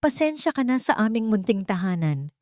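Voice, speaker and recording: A woman's voice: mid-range voice, very animated, normal speed, dry recording, clean studio sound, pitched above the performer's own voice, close to an everyday voice. A woman narrator voicing a kindly, welcoming mother of a grown daughter in an audio drama. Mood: warm, welcoming.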